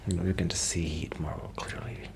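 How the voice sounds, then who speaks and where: A soft-spoken man rambling casually in a shy, hesitant, apologetic way, in the style of an ASMR friend roleplay. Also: sits low, fairly even intonation, brisk. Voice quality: soft-spoken, sometimes dropping toward a whisper. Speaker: ASMR roleplay performer playing a musician friend. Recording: clear background noise.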